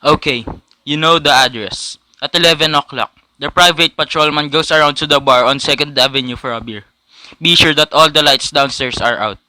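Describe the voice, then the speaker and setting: A man's voice: fairly high pitch, fairly quick, pushed and bright, no room sound, low-quality recording. A young man playing a cold, businesslike hired killer with clipped, matter-of-fact speech in a student radio drama.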